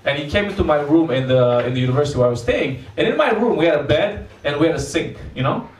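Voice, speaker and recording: A man's voice: on the high side, animated, quick pace. A man with a Romanian accent, a stand-up comedian hosting an open mic, blunt and crude as he teases the audience. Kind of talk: crowd work stand-up. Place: comedy club with live audience. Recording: roomy club sound, distant mic, crowd.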